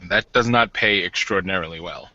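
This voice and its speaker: Sharp, blunt man playing a werewolf warden. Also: low voice, brisk, heavily acted. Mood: matter-of-fact. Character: teasing, blunt male werewolf warden, newly married. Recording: dull lo-fi audio.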